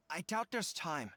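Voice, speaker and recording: Earnest, high-voiced young dog-eared general. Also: male voice, pushed and bright, heavily acted. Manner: formal, earnest, military. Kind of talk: English video game voice acting. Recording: game music behind.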